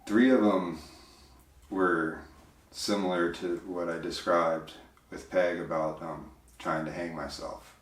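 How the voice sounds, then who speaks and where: A man with a big, deep voice, speaking as an interview guest in a reflective, hesitant way, full of fillers and restarts. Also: fairly even intonation, slow pace, distant mic. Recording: roomy, voice from off mic.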